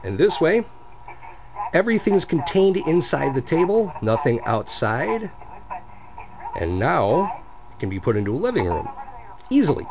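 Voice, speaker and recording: A man's voice: a little high, very animated, measured pace, pauses often, rough recording. A man casually narrating a demonstration of his hobby project in a plainspoken, explanatory way. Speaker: hobbyist restorer of antique radios.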